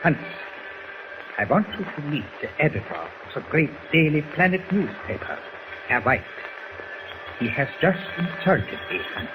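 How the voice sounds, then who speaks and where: An actor playing a menacing, coldly polite German doctor villain in a formal, threatening manner in a 1940s radio drama. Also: male voice, on the high side, very animated, measured pace. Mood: angry menace. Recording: muffled, noisy old 1940s radio recording.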